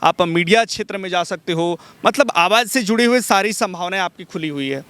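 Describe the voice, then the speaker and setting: A young man, giving polite, measured interview answers as a mimicry artist. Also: high voice, a bit bright, smooth. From Jharkhand, India. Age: young adult.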